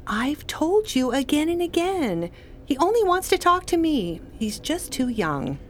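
Young expectant wife woman acting, radio drama: high voice, earnest, fretful, whimsical; faint noise behind. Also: sing-song, measured pace. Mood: matter-of-fact. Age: young adult.

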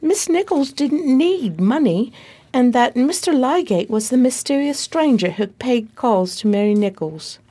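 High, lively lady sidekick narrator, played by an actress. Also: unhurried. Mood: expressive, prim. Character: loyal, long-suffering female detective's assistant and narrator. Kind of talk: radio play narration and dialogue.

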